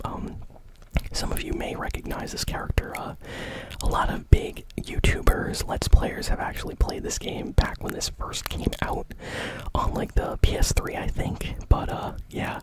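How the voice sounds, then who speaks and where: Asmr gaming news host, whispers everything, chatty and loose, kinda rambles along. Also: poor audio. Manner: rambling, informal, hedging.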